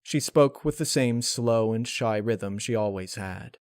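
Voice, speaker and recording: A man reading scripted dark fan fiction aloud as its narrator. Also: fairly low pitch, unhurried, smooth, no room sound, studio quality.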